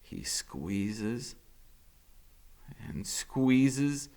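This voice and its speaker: A man reading a novel aloud as a dramatic first-person narration, expressive and suspenseful. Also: mid-range voice, very slow, creaky.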